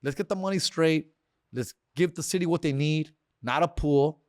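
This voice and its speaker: A man talks off the cuff, tossing in slang and bits of Spanish. His voice sits a little high for a man. The recording is close and dry. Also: moderate pace, a little mellow, dry recording. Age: 40s. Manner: slangy, drops bro, dog and fool, mixes in Spanish phrases. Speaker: podcast host. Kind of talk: casual monologue.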